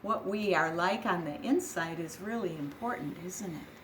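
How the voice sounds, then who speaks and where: A woman reading a children's picture book aloud, gentle and expressive, with dramatic pauses. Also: fairly low pitch, a little hiss or hum.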